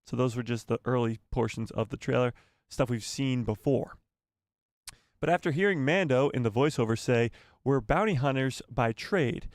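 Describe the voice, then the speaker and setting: A man's voice: medium pitch, normal speed, no room sound, clean studio sound. A man hosting a live fan news stream, reading news and adding rambling, self-correcting asides to his viewers. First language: not Spanish. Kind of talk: casual live news chat.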